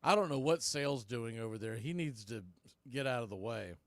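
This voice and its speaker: A man's voice: mid-range voice, expressive, brisk, dry recording. A man doing live radio baseball play-by-play, with punchy clipped calls and conversational asides.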